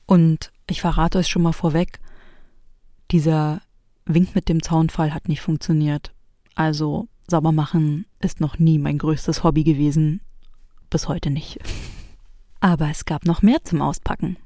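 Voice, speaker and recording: A woman's voice: sits low, steady tone, measured pace, warm tone, no room sound, clean studio sound. A woman in her forties from East Berlin, telling personal memories as a scripted narration. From East Berlin, Germany. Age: 40s. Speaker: host and narrator of a private audio piece.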